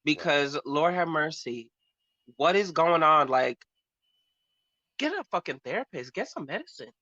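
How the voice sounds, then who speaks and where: A man's voice: a little high, lively intonation, measured pace, sharp tone, dry recording, dull audio. A man chatting casually as a panel host, with a sassy, blunt, slangy manner. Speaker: host on a pop culture panel show. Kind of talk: casual chat.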